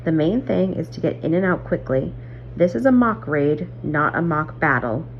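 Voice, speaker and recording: A woman's voice: deep voice, lively intonation, moderate pace. A woman voicing an earnest, patient young miner who leads a team, instructing emphatically in a storybook reading. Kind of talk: acted dialogue in a storybook reading. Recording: muffled audio, clear background hum.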